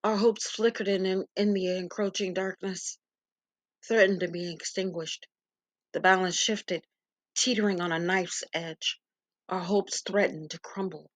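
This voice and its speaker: A woman's voice: on the low side, fairly even intonation, a little slow. A woman giving an earnest, suspenseful first-person narration of a dramatic story.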